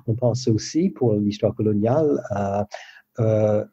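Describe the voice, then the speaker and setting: A man who grew up speaking explains things as an academic guest. His voice is fairly low, extremely dark and soft-edged, in a dry, somewhat muffled recording. He talks in a measured, scholarly way. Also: breathy edge, dry recording. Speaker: history professor, guest. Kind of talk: explanatory interview answer. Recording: dull lo-fi line, dry sound.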